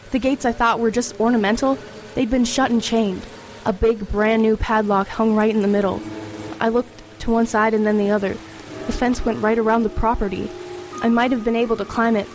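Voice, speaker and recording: Higher-voiced teenage girl played by a woman amid rain. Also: background noise, close to an everyday voice. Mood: tense recounting. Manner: earnest, urgent in dialogue, reflective in narration. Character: teenage girl Autumn, anxious, resolute, wry narrator. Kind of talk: first-person narration in audio horror drama. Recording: rain and ambience behind the voice.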